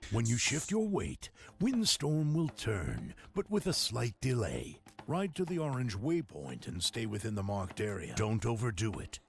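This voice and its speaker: An actor playing a calm male riding instructor in a game, patiently explaining steps to his student. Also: medium pitch, measured pace, gravelly, few pauses, heavily acted. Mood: instructive. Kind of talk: game character dialogue.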